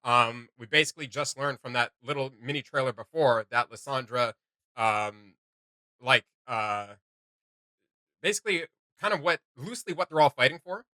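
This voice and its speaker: A man's voice: medium pitch, moderate pace, pushed and bright, no room sound. A man hosting a reaction video, chatting in an informal, rambling way. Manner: rambling, giggly, informal, many "like" and "you know". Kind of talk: casual reaction chat.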